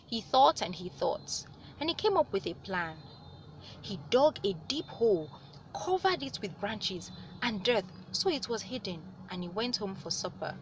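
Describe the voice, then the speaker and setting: A woman reading a story aloud as host, with animated delivery and dramatic, drawn-out emphasis on words. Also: mid-range voice, slight background noise, low-quality recording. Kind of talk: expressive read-aloud storytelling.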